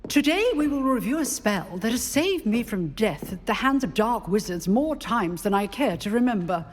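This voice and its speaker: An actress playing a sharp, boastful old British professor of duelling in a fantasy game, lecturing drily in a reverberant hall. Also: female voice, on the high side, very animated, normal speed, slight background noise. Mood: wry, commanding. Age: older. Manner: dry, imperious lecturing.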